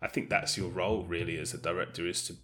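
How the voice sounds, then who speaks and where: A man's voice: sits low, little pitch movement, fast talker, a bit bright, soft background music. A British man talking as an interview guest in a casual, hesitant, thoughtful and self-effacing way. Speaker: audio drama director, interview guest.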